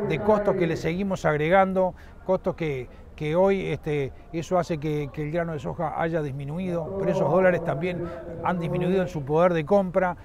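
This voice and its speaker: Uruguayan man, middle-aged, halting and full of fillers, fairly high voice, loud crowd behind. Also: dull audio. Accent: Uruguayan Spanish. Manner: halting, many fillers and restarts. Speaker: rural association president. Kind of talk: outdoor interview. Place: outdoors at a livestock fairground.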